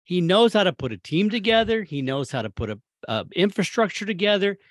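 An American man co-hosting a casual sports chat, speaking in opinionated, rambling and animated bursts. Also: sits high, brisk, dry recording, clean studio sound. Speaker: sports talk co-host.

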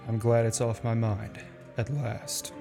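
A man's voice: mid-range voice, level tone, a little slow, creaky, over music. A man in a radio play acting an earnest, deferential dying memory-act performer who recites by rote. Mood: relieved. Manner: recites technical details by rote.

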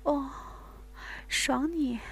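A woman voicing a passionate adult woman in an intimate scene, with breathy, high moaning cries. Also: very slow, exaggerated voice.

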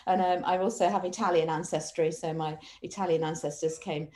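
Mellow middle-aged English woman telling her story. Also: on the low side, steady tone, fairly quick. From Manchester, England. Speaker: interview guest, family history researcher. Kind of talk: casual conversational storytelling.

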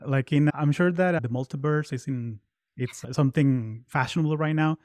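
A man's voice: fairly high pitch, moderate pace, a little mellow, no room sound, clean studio sound. A man hosting a podcast interview, enthusiastic and complimentary toward his guest. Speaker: podcast host. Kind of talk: conversational interview.

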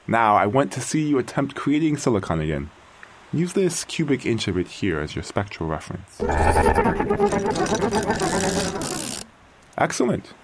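Man acting an expressive, awestruck machine parent. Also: mid-range voice, gravelly, slight background noise, low-quality recording. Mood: fond, delighted. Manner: theatrical, wonder-filled, musing, halting. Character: aging artificial intelligence, doting, awestruck creator-parent of new creatures. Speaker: narrator and lead character. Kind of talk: dramatic first-person audio fiction monologue.

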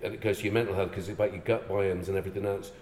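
A man originally from Ireland, hosting a casual podcast chat. He talks forcefully, cuts in often and mixes jokes with strong opinions. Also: low-pitched, level tone, rapid-fire. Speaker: podcast host. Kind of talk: casual conversation. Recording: echoey room with long reverb.

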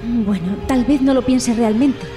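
A woman's voice: on the high side, measured pace, music in the background, natural voice. A woman playing a worried, self-deprecating botanist confiding in a colleague in an audio drama. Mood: concerned, confiding.

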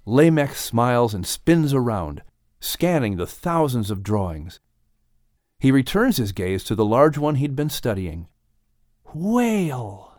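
A man reading a scripted audiobook story as its narrator, with expressive delivery. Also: mid-range voice, measured pace, no echo, studio quality.

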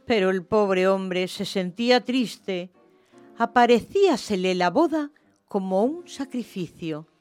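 A woman's voice: medium pitch, lively intonation, slow, darkish tone, soft background music. A woman narrating a literary story for radio theatre in a scripted reading style.

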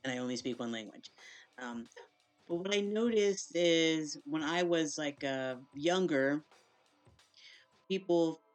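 A podcast host with a smooth, clear voice at a middle pitch, asking thoughtful questions at a very unhurried pace. Faint music plays behind. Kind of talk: interview questions.

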